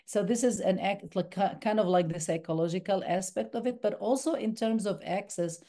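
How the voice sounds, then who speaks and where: A woman's voice: medium pitch, normal speed, warm tone. A woman from the West Bank, a documentary filmmaker answering interview questions thoughtfully, often restarting her sentences. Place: university screening room.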